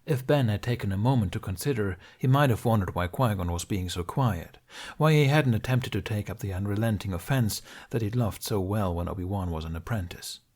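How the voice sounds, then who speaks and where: Low-voiced man reading a story. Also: brisk, a little mellow. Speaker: audiobook narrator. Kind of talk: reading fan fiction aloud.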